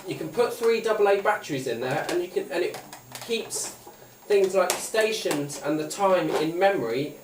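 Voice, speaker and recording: Male British gadget reviewer, hesitant and off-the-cuff, slightly high voice, picked up from a distance with faint noise. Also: roomy sound. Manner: hesitant, rambling, unscripted. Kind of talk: casual product demonstration.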